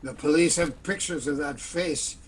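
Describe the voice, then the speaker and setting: Man playing high-voiced nervous crooked doctor. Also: normal speed, a little hiss or hum, close to an everyday voice. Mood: worried. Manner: hesitant, stammering, pleading. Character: nervous, weary crooked doctor, villain's timid sidekick. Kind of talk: old-time radio play acting.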